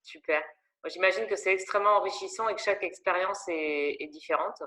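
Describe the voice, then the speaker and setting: A woman's voice: fairly low pitch, a little fast, pushed and bright. A woman interviewing a guest for a women's network, asking questions with many hesitations. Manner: hesitant, many fillers and restarts.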